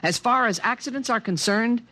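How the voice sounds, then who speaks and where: An actor playing a fussy, anxiously protective alien creature, earnest and pedantic, in a 1950s radio drama. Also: male voice, very high-pitched, normal speed, sharp tone, no room sound. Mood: anxious, hurt. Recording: vintage 1950s radio, music underneath.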